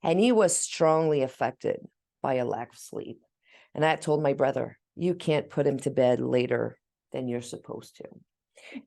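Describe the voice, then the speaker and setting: A middle-aged woman chatting casually as a co-host, telling stories in an animated, emphatic way and acting out what people said. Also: a bass voice, measured pace, gravelly. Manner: animated storyteller, acts out quoted exchanges; talkative, emphatic, self-described loud speaker. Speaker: parent sharing parenting tips as co-host. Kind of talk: casual conversational talk.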